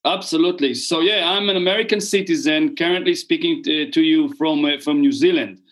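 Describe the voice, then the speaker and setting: A man's voice: a little high, brisk, fairly bright tone, rough recording. A middle-aged man with an Israeli accent, explaining things conversationally as an interview guest in a rambling way with repeated words. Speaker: podcast guest, real estate investor.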